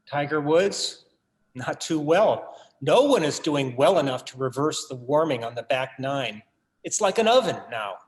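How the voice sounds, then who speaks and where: An actor in a staged reading, playing a bereaved writer son who tells his family story in a measured, literary, wry way. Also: on the high side, animated. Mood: wry, nostalgic. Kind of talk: dramatic monologue in a staged reading.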